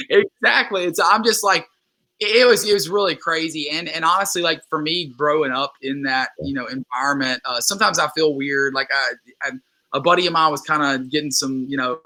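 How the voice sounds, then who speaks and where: A man's voice: on the high side, a little fast, bright, forceful tone. A man in his twenties from Louisiana, a guest musician talking casually and earnestly, with many restarts and fillers. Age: 20s. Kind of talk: casual interview chat.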